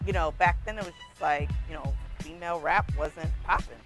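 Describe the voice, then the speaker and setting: A woman hosting a talk podcast, chatty and playful, dropping her voice low for questions, with music behind her. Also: a little fast. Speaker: podcast host. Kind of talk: casual chat.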